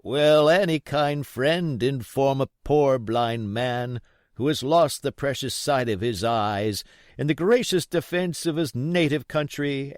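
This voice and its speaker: A man voicing a sinister, wheedling old blind beggar sailor in a storybook, with a raised, drawn-out sing-song delivery. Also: medium pitch, no room sound, studio quality, pitched above the performer's own voice, natural voice.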